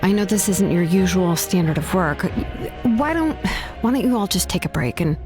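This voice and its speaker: A woman's voice: lowish voice, lively intonation, moderate pace, music in the background, lightly acted. A woman voicing a weary, exacting but easygoing blacksmith in a video game, casual and considerate. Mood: frustrated, restrained.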